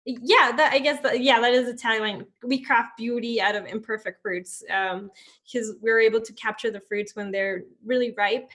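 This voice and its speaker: A young woman from Ecuador speaks from home on a video call as a startup founder. Her voice is on the high side. She explains her product informally, in halting phrases that often restart. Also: steady tone, fairly bright tone. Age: young adult. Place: indoor room at home. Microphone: laptop video call.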